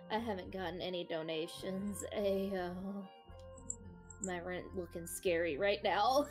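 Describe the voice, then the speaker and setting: A woman's voice: on the low side, slow pace. A young woman chatting casually during a gaming stream in a playful, teasing way. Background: game music.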